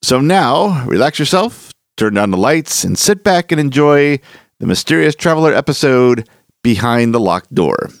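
A man's voice: medium pitch, big pitch swings, moderate pace. A man working as a podcast host, reading a scripted introduction to classic radio horror. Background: soft music.

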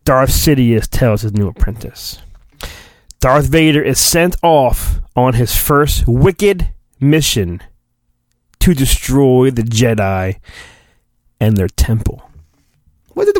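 A man's voice: medium pitch, sing-song, a little slow, darkish tone, dry recording. A man hosting a podcast, giving a hammy, irreverent storybook reading with joking asides. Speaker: podcast host.

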